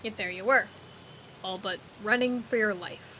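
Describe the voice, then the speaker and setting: A woman's voice: medium pitch, normal speed, slight background noise, close to an everyday voice, poor audio. A woman playing a streetwise friend who narrates an audio drama in the second person, in an intimate, dramatic manner. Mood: tense, grave. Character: concerned streetwise friend narrating a tense urban memory. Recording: loud music behind.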